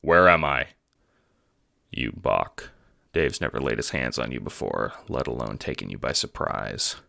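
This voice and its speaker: A man's voice: very deep voice, normal speed, gravelly, a bit bright, no echo, studio quality. A man narrating a scripted second-person audiobook drama.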